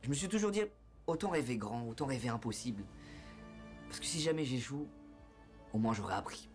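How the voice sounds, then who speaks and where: A man's voice: mid-range voice, animated, brisk, music in the background. A man in his twenties speaking earnestly and reflectively to his viewers in a casual vlog monologue. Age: 20s.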